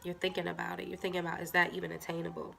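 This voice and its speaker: Chatty woman reading tarot, stuffy nose, kinda low voice with lots of fry. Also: completely flat delivery, racing, fairly bright tone, slight background noise. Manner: chatty, filler-heavy, talks straight to viewers. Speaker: tarot reader. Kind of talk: casual tarot reading to camera.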